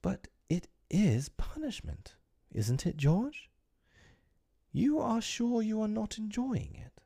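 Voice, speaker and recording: A man reading an audiobook, voicing a gentle, anxious middle-aged mother in a soft, pleading way. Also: sits high, big pitch swings, takes it slowly, creaky, pitched above the performer's own voice, exaggerated voice. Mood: timid. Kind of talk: audiobook character voice.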